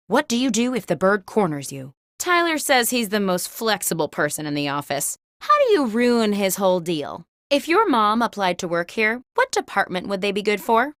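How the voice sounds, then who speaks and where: Female game host: chirpy, wry corporate interviewer, crisp and mock-professional, very lively intonation, dry close sound. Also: mid-range voice, dry recording. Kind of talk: scripted game show prompts.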